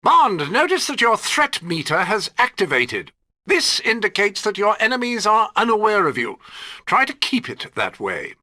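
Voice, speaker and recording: High, fussy British gadget master. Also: male voice, huge pitch swings, moderate pace, bright, forceful tone, full character voice. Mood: instructive. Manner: testy, precise, schoolmasterly. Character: fussy, exasperated older male gadget master. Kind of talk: video game voice acting.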